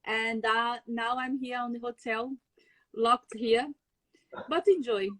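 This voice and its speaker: A woman's voice: on the high side, fairly even intonation, slow, fairly bright tone, no room sound. A woman from Brazil with a Brazilian Portuguese accent, chatting as a guest chef over a video call, laughing often and searching for words. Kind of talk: casual video-call chat.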